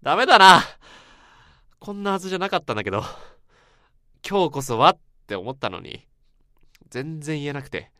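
A man acting in a radio drama as a drunk, guilt-ridden young man who speaks haltingly and repeats himself in anguish. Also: a little high, very animated, pushed and bright, no echo, clean studio sound. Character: drunk, guilt-ridden man confessing to his old friend.

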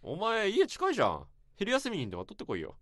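A rough-talking senior office worker in a radio drama, bossy and quick to lecture. His voice sits a little high and leaps widely in pitch. The sound is dry and close. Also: wildly swooping pitch, dry recording, heavily acted. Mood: brusque. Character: gruff blunt senior office worker man. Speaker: senior coworker.

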